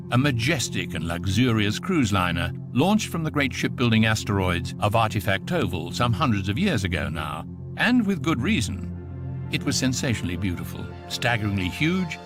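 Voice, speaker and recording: A man acting the urbane, authoritative voice of a galactic guidebook, narrating in a grand, droll, measured radio comedy style. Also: fairly low pitch. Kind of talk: scripted mock-documentary narration in radio comedy.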